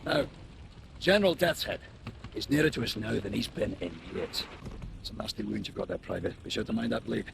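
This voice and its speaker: An actor playing a commanding officer giving a forceful briefing in a video game. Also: male voice, on the low side, big pitch swings, fairly quick, creaky, heavy background noise, heavily acted.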